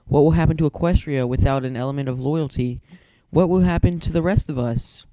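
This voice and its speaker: A man's voice: on the high side, fairly quick, warm tone, dry recording, lightly acted, low-quality recording. A man voicing a worried, thoughtful female unicorn pony in an earnest, anxious manner.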